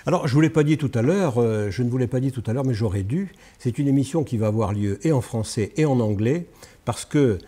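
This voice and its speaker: Mellow-voiced male interviewer, slightly husky. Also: medium pitch, animated. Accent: French. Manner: halting, repeats words while switching to English. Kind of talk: podcast interview.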